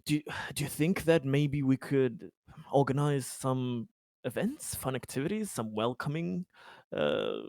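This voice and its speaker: A courteous colony councilman, played by a man in a tabletop roleplay, eager to please. He talks haltingly and politely in a mid-pitched voice, rambling and repeating words, recorded close and dry. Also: big pitch swings, a little mellow, no echo. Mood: polite, welcoming. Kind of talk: improvised in-character tabletop dialogue.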